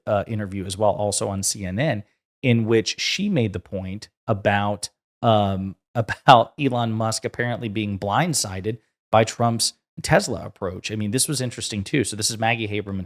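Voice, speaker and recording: A man with an American accent and a fairly low voice hosts a political commentary show. He speaks casually and argues his points with emphasis. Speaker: host commentator.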